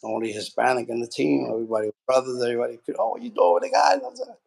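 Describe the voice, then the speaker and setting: A man from Puerto Rico, a boxing trainer, telling his story in a relaxed, rambling way with lots of fillers. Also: medium pitch, very fast, gravelly, breathy edge. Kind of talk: casual interview.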